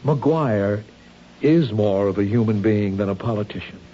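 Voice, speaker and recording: An actor playing a patient, concerned husband in a 1970s radio drama, earnest and gently reassuring. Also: male voice, lowish voice, measured pace. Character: concerned, patient husband, a city hall official. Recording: dull, lightly noisy old radio recording.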